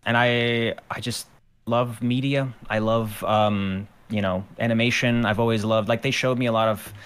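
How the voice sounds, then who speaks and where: A man's voice: mid-range voice, steady tone, normal speed, fairly bright tone, clean tone. A man from Ukraine, a guest on a podcast, chatting casually about his art career with lots of fillers and restarts. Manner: rambling, with many fillers and restarts. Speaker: guest, animator and musician. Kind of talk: casual interview chat.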